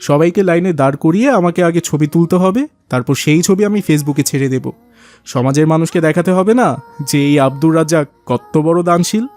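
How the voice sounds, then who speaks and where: A man's voice: a little high, a little fast, a little mellow, lightly acted. A man acts out a wealthy cartoon businessman. He is pompous and bossy, talking down to others and showing off. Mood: boastful, scornful. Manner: bossy, condescending. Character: pompous, show-off rich businessman and indulgent father. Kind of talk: cartoon dialogue acting.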